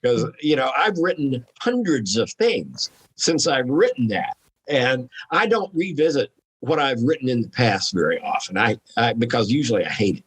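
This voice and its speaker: A man's voice: mid-range voice, very animated, moderate pace, a bit bright, husky. A man speaking as a guest author, chatting casually and candidly about his own writing in a rambling, self-deprecating way.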